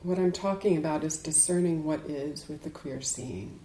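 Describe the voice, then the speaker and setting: A woman giving a dharma talk to a group as a Buddhist teacher, speaking in a measured, deliberate way with emphatic pauses. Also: deep voice, dark tone. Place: indoors in a room. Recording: echoey room.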